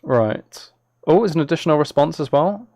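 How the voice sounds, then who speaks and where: A man's voice: fairly high pitch, animated, normal speed, vocal fry, warm tone, no room sound, clean studio sound. A man live streaming, talking casually and a little hesitantly to his viewers as he reads chat and reacts.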